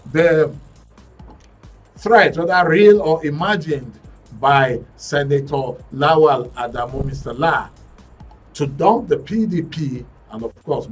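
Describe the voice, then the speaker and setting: A man's voice: on the high side, breathy edge, recorded from a distance. A man with a Nigerian English accent hosting a current affairs show, reading news snippets with frequent fillers and restarts. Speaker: radio and TV current affairs host.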